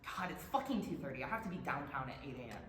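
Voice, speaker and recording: A woman's voice: low voice, big pitch swings, quick pace, fairly bright tone. A young woman playing a blunt, irreverent college student in a staged play reading, sarcastic in manner. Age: young adult. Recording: echoey room, background noise.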